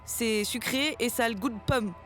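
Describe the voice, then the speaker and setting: A woman's voice: fairly high pitch, normal speed, natural voice. A woman playing a brash, impatient young female adventurer with blunt, mocking, slangy delivery in an audio drama. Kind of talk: French audio drama acting. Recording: music behind the voice.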